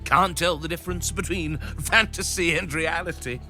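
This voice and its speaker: A man's voice: on the high side, normal speed, music in the background, close to an everyday voice. A man playing a gloating, menacing villain in an audio drama, taunting and theatrical, drawing out his words. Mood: cruel gloating. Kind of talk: musical audio drama acting.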